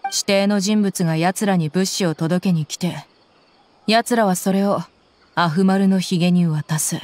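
A woman's voice: on the low side, a little slow, a little mellow, slight background noise, natural voice. An actress playing a tough, confident young woman mercenary in a Japanese anime game, with blunt, intimidating phrasing. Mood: focused.

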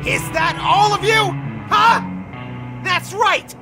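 Slow-drawling wounded outlaw hedgehog. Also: male voice, very high voice, gravelly, full character voice. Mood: taunting, furious. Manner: drawling Western gunslinger talk, slow and emphatic. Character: wounded, defiant hedgehog outlaw making a last stand. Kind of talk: dramatic audio drama acting. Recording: loud music laid under the voice. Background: loud dramatic music.

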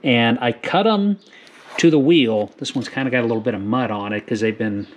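A middle-aged man hosting a farm channel, explaining a do-it-yourself project in a relaxed, rambling way with lots of fillers, filmed in a garage on an action camera. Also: mid-range voice, brisk, lo-fi sound, slight background noise. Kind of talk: casual how-to video narration. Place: garage, then outdoors on a farm lawn.

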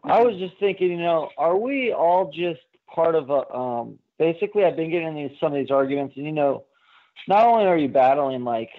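A man calling in to a radio show, chatting informally in a halting, rambling way over a phone line. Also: on the high side, expressive. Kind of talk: casual chat. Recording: tinny narrow phone line.

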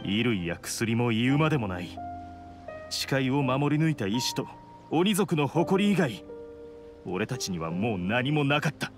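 An actor playing an embittered male oni warrior outcast in a video game, speaking with scorn and deliberate weight. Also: medium pitch, sing-song, over music. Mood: bitter. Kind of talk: dramatic game voice acting.

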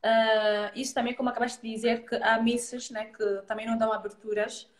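A woman's voice: on the high side, little pitch movement, fairly quick. A woman hosting a live video-call interview, who speaks hesitantly, fills gaps with hums and agrees often. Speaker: host of a live show. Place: indoors, plain magenta wall. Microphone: video call from a laptop. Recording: roomy video call audio.

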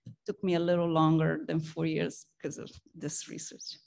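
A woman's voice: low voice, completely flat delivery, moderate pace, creaky, a little mellow. A woman from Italy, giving an earnest academic presentation as an author in a university lecture hall, with occasional ums. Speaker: guest speaker and author.

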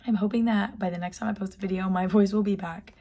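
A young woman talking casually to her phone camera in a dorm room, her voice hoarse and half gone. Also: medium pitch, steady tone, fast, warm tone. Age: young adult. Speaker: vlogger. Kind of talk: casual vlog talk to camera. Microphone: phone at arm's length.

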